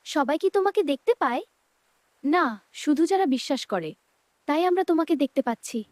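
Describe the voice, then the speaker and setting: Woman voicing high, animated cartoon youngster. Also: normal speed. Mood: wondering. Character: curious young children and gentle mermaid in cartoon. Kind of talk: scripted children's cartoon dialogue. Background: music.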